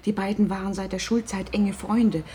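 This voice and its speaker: An actress playing a worried, wealthy middle-aged mother, husky and breathy, formal and reserved, in a naturalistic radio drama. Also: mid-range voice, steady tone, normal speed, mellow, a little hiss or hum, close to an everyday voice. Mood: worried, guarded.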